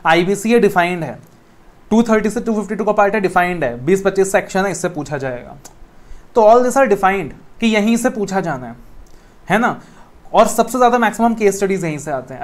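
A man in his thirties with an Indian accent, giving a recorded classroom lecture on exam law. He talks in a coaxing, motivational way and mixes in English phrases. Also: high voice. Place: indoor studio room with a whiteboard screen.

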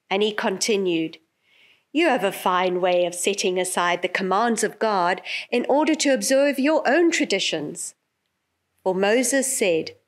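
Middle-aged woman, unhurried and emphatic, reading scripture aloud in church. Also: medium pitch. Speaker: pastoral carer, Bible reader. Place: indoor chapel at a lectern. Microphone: gooseneck lectern microphone.

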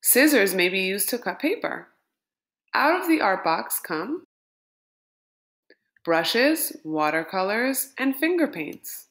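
A woman reads a children's picture book aloud at a gentle, unhurried pace. Her voice sits in a middle register but swoops up on each listed item, giving a lively, sing-song read. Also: mid-range voice. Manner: slow, lilting and expressive, lifting words in lists. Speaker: storybook reader.

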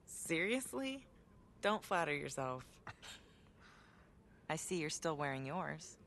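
An actress playing a wry, teasing young American journalist in a video game. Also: female voice, deep voice, very animated, slow pace, fairly bright tone, slight background noise, heavily acted. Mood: concerned.

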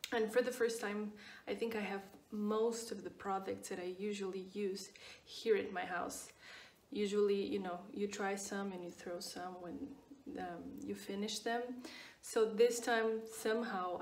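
A woman's voice: mid-range voice, a little mellow, recorded from a distance. A woman of twenty-nine from Portugal, speaking English with a Portuguese accent, chats casually about her skincare routine, repeating words and using lots of fillers. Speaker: skincare vlogger. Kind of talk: casual product review. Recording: echoey room, voice off-mic.

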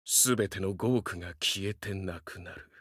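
A man's voice: deep voice, normal speed, a bit bright, no echo, clean studio sound. A man voicing a young, fiercely loyal dog-man samurai in a Japanese game, speaking earnestly in formal, archaic warrior speech with dramatic delivery. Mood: solemn, wistful.

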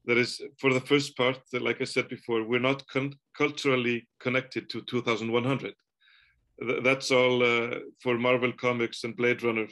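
Native Icelandic man, 40s, webcam call from home; level, even delivery, searching for words. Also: medium pitch. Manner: thoughtful, halting, many restarts. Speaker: author. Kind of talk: video call conversation. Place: home room, indoors. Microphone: video call webcam.

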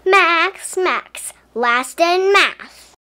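A woman's voice: very high-pitched, lively intonation, drawn-out words, bright, forceful tone, voice pushed up, exaggerated voice. A woman voicing a teasing schoolboy bully in a storybook, chanting taunts in a singsong way. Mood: mocking, singsong.

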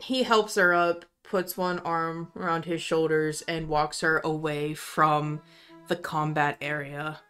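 A woman running a tabletop roleplaying game as game master, narrating with slow, dramatic storytelling. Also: sits low, level tone, a bit bright, clean tone, soft background music.

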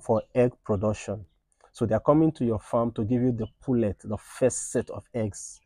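Man in his 30s, low voice, mellow tone, dry close phone sound, earnest advice, outdoor shed. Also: no echo. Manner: earnest, repeats points with "right?" and "all right". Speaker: snail farmer and channel host. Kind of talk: informal farming advice to camera. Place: outdoor shed with tin roof at a snail farm. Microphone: phone camera at arm's length.

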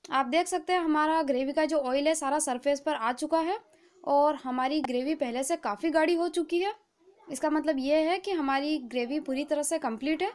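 A woman hosting a cooking channel, giving a calm, patient, step-by-step recipe voice-over. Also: high-pitched, moderate pace. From India.

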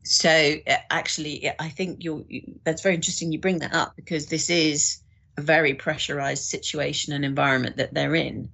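A woman's voice: deep voice, steady tone, brisk, creaky, pushed and bright, slight background noise. A woman guest on a podcast, talking thoughtfully in a conversational way.

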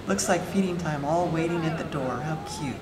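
A woman narrating a travel vlog in a calm, admiring scripted voice-over. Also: low voice, moderate pace, a crowd in the background. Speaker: travel vlogger. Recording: roomy voice-over under loud music.

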